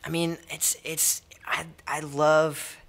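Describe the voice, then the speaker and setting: A man's voice: a little high, flat delivery, slow, fairly bright tone. A young man with an American accent chatting casually as an interviewed musician, in an informal, hesitant, repetitive way. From United States. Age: young adult. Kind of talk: casual chat. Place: indoor music studio. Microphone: stand microphone.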